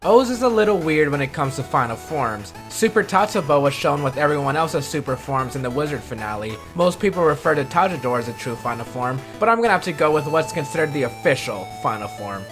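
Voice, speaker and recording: A man's voice: fairly high pitch, expressive, fairly quick, few pauses. Wry man hosting a countdown over music. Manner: wry, self-deprecating asides. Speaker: video host. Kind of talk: scripted countdown commentary. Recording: background music under the voice.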